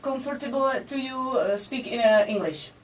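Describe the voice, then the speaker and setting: A woman's voice: a little high, expressive, moderate pace. A woman interviewing a guest in English, hesitating with many fillers. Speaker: radio interviewer. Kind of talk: radio interview. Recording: distant mic with faint background noise.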